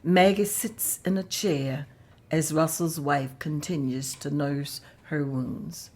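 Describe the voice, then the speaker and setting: A woman narrating a table read in a plain, measured way. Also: deep voice, fairly even intonation, a little mellow.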